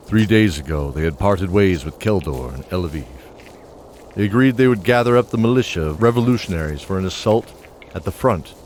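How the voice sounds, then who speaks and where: A man's voice: deep voice, a little mellow, a little hiss or hum. A man reading scripted narration for a fantasy audio drama in a descriptive storytelling manner.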